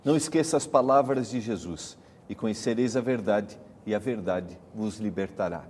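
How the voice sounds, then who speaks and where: A man's voice: fairly low pitch, moderate pace, a little mellow, big-room sound. A man with a Brazilian accent hosting a religious study discussion program. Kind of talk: televised Bible study discussion.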